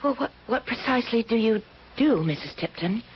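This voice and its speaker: A muffled, faintly noisy old radio drama with an actress as a young woman job seeker. Her high voice is earnest and anxious, polite and hesitant. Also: animated, fairly quick, lightly acted. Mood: anxious, puzzled. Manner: hesitant, apologetic, eager.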